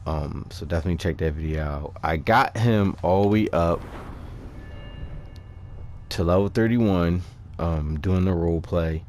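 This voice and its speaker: A man with a low voice talks through a video game build in a casual, unscripted style. He speaks informally and haltingly, slipping into slang as he explains his choices to viewers. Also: darkish tone, a little hiss or hum. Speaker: gaming video creator presenting character builds. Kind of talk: casual unscripted gameplay walkthrough commentary.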